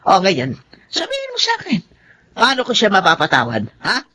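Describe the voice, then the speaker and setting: A woman's voice: mid-range voice, huge pitch swings, moderate pace, bright, forceful tone, breathy edge, exaggerated voice. A woman playing a feisty, grudge-holding older Filipino housewife in a radio drama, with an emphatic delivery and a Filipino accent. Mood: bitter, indignant. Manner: indignant, emphatic.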